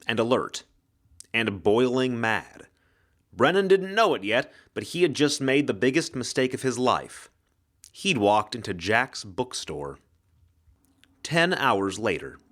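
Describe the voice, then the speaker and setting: A man's voice: medium pitch, fairly bright tone. A man reading mystery fiction as a dramatic scripted narration. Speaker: audiobook reader, actor.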